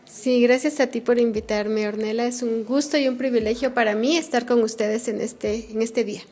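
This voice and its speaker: A middle-aged woman from Guatemala with a Guatemalan Spanish accent, answering interview questions earnestly about her missionary work, often pausing on "este" and "eh". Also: on the high side, fairly even intonation, a little mellow. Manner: earnest, frequent fillers like "este" and "eh". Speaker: missionary guest.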